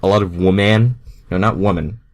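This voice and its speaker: Low-voiced man reading a story casually. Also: steady tone, normal speed. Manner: self-deprecating, breaks off to comment. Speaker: amateur fan fiction reader.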